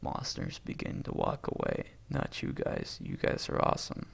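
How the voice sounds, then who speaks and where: A husky-voiced man reading a film script aloud, stage directions and dialogue alike, stumbling and correcting himself and breaking off into asides. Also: very deep voice, wildly swooping pitch, normal speed. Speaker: amateur reader doing a long script read-aloud.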